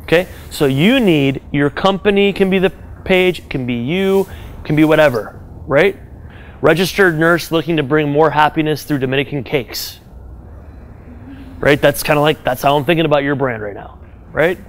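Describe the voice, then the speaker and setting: Animated man giving business advice live. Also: fairly high pitch, normal speed. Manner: animated, direct, second-person advice with frequent "right?". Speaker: business speaker advising an audience. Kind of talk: live talk to a room audience.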